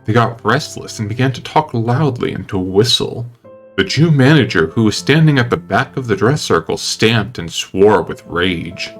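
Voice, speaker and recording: A man's voice: on the low side, lively intonation, normal speed. A man reading a classic novel aloud in a dramatic audiobook style, with guitar music playing behind him. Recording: music under the voice.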